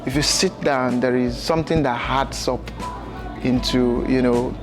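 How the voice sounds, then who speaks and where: A young Nigerian man answers interview questions in a casual, relaxed way, filling pauses with "um" and "you know". His pitch stays flat and even. Music runs clearly behind him in a bright lounge. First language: Yoruba. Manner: relaxed, gestures with hands, fills with "you know" and "um". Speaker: guest. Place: bright lounge with sofas, indoors.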